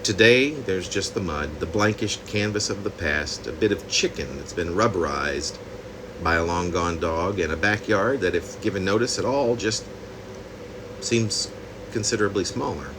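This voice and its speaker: A man reading his own poem reflectively, in deliberate, halting phrases with pauses. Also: deep voice, noisy background. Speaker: poet reading own work.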